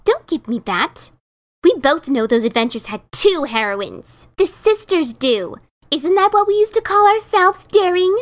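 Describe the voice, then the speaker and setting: A woman's voice: very high voice, very animated, unhurried, exaggerated voice. A woman voicing an exasperated pegasus mother mare, scolding and sarcastic, in a dramatic reading. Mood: indignant. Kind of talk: dramatic reading of a fan story.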